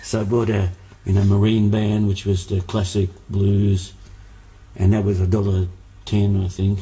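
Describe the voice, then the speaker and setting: A man's voice: lowish voice, little pitch movement, unhurried, mellow, rough recording. A man, born in Hungary, telling stories as a musician guest in a casual interview, rambling with many fillers and restarts.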